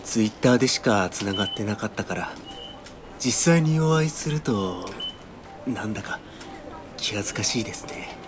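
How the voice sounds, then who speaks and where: An actor playing an awkward, gentle young man in a radio drama, halting and reserved, with quiet resolve. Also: on the low side, lively intonation, background noise, close to an everyday voice. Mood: shy, awkward. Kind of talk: Japanese radio drama acting. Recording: music behind the voice.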